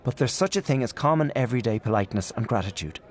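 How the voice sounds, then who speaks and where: A man's voice: medium pitch, expressive, fast talker, close to an everyday voice. A man voicing an indignant, fiercely opinionated elderly aunt who speaks emphatically.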